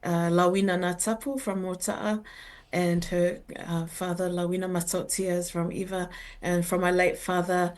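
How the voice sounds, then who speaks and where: Older woman, flat low halting voice. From New Zealand. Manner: halting, many fillers and restarts, earnest. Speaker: researcher and educator guest. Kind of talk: interview answers.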